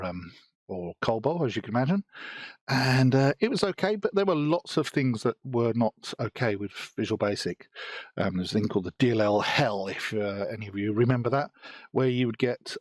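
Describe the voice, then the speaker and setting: A man in his late fifties with a British accent tells a personal story straight to camera. His humor is dry and wry, and his pitch sits in an ordinary middle register. The recording is close and dead, with no room sound. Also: no echo. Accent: British English. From United Kingdom. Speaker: software developer.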